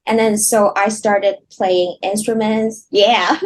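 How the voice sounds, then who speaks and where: A woman from Hong Kong answers interview questions slowly and haltingly. Her voice is soft and mid-pitched, and she laughs often between her thoughts. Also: steady tone, a little hiss or hum. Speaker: guest singer. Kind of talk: casual interview chat.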